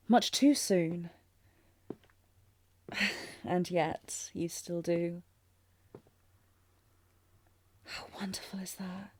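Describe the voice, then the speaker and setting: A woman's voice: fairly low pitch, mellow, no echo. A woman playing a tender, anxious young princess in a romantic audio drama, speaking formally and hesitantly with sighs. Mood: sorrowful, tender. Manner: formal archaic diction, hesitant, breathy sighs. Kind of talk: scripted romantic fantasy audio roleplay, intimate.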